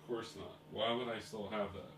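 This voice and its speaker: A man's voice: fairly low pitch, normal speed, background noise. A young man telling a rambling, casual story about his trip in a video diary, full of fillers and asides. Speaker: indie game developer.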